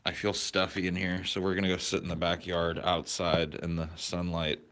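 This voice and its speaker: Low-voiced man rambling to his stream. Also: monotone, moderate pace, a bit bright. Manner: rambling, crude, answers chat questions aloud. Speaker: livestream host. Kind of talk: casual livestream chat with viewers. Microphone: portable camera or phone carried around.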